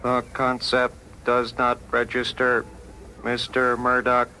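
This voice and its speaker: A man's voice: mid-range voice, monotone, slow pace, sharp tone. A man playing an emotionless, courteous, literal-minded computer with formal, clipped phrasing. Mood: impassive. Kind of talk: dramatized short story acting. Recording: noisy vintage radio drama recording.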